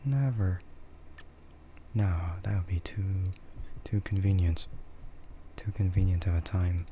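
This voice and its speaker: Slow soft whispery guy doing asmr stream chat, kinda muffled, keys clicking in the back. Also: sits low, slight background noise. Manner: soft-spoken, often slipping into whispers.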